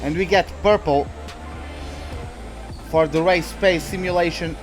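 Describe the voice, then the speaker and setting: A young man whose first language is Portuguese talks over a racing game in a fairly high voice, rambling and chatty. He restarts sentences often, fills gaps with "uh" and "like," and pokes fun at himself. Soft game music plays faintly behind him. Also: unhurried. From Portuguese-speaking country. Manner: rambling, chatty, many fillers and restarts, self-mocking. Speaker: gaming YouTuber. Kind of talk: casual let's-play commentary.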